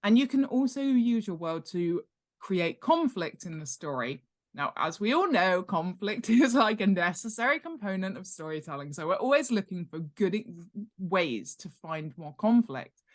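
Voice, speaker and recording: A woman's voice: mid-range voice, lively intonation, normal speed. A woman speaking as an interview guest, explaining her craft conversationally in an enthusiastic, emphatic way, with an easy laugh. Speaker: interview guest, world-building expert and author.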